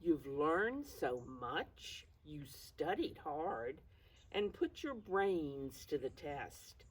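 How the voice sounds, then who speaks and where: An older woman reading aloud to young children, pausing to explain things in simple words. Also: sits low, very animated, slightly rough. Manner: addresses children simply, calls self by name in third person. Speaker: preschool storytime reader. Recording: distant mic, faint background noise.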